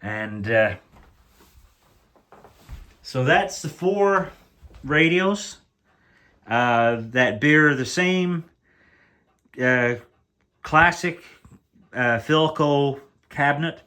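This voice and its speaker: A man's voice: fairly high pitch, lively intonation, extremely slow, pauses often, pushed and bright, clean tone. A man casually showing and comparing old-style radios at home, speaking hesitantly with many fillers and restarts, from behind the camera. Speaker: radio collector. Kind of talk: casual show-and-tell commentary. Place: indoors, home room with radios on floor.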